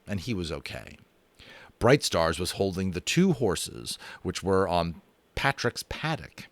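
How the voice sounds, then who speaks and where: Expressive lower-voiced man reading a story. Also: no room sound. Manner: expressive, with casual asides. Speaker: audiobook narrator. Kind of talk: dramatic children's book reading.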